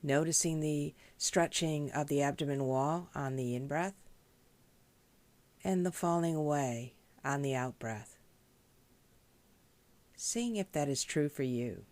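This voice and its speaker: A woman leading a guided meditation as a teacher, speaking in a gentle, measured, instructional way. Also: deep voice, no room sound. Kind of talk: guided body scan meditation.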